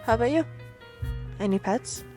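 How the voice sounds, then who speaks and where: A woman's voice: on the high side, lively intonation, normal speed, a little mellow, natural voice. A woman acting as a bubbly, slightly awkward woman out speed dating, talking straight to the listener in an ASMR roleplay. She rambles playfully and hesitates in a natural, giggly, conversational way. Music plays behind her voice. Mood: playful, chatty.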